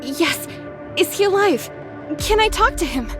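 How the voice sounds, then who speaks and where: An actress playing a gentle, caring young female soldier in a video game, with a soft-hearted, hesitant manner. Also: extremely high pitch, animated, measured pace. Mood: anxious. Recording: background music under voice.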